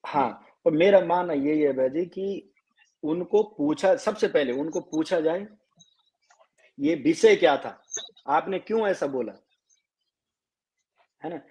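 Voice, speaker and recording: A man with a Garhwali accent, a folk singer who sings a slow song and then talks earnestly as a guest on a live stream. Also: on the high side, animated, darkish tone. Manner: earnest, speaks quickly when explaining. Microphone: live video call. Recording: dull lo-fi live stream audio.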